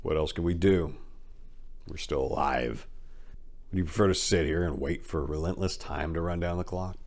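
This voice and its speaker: A man's voice: rumbling low, moderate pace, darkish tone. A man voicing a determined, idealistic younger crime fighter who makes emphatic, rousing speeches in a dramatic story reading. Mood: resolute.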